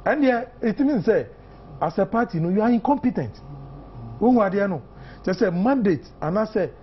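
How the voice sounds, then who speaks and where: A man's voice: high-pitched, lively intonation, normal speed, highs cut off, slight background noise. A Ghanaian man in his fifties, a political guest on a morning newspaper review, mixing English and Twi with many fillers and emphatic points. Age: 50s.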